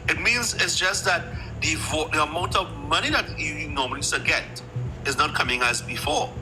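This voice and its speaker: A man's voice: on the high side, expressive, moderate pace, low-quality recording. Man explaining over music. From Trinidad. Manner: explains step by step with many fillers and restarts. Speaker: caller joining the live chat. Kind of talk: casual explanatory chat.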